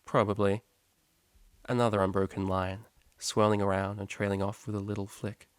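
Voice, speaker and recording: Lowish-voiced man narrating a story. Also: little pitch movement, brisk, smooth, no room sound. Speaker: story reader. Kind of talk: scripted fiction narration.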